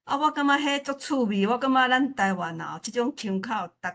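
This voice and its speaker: A woman with a Taiwanese accent giving informal remarks at a literature forum, halting and often repeating her phrases. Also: a little high, lively intonation, a bit bright.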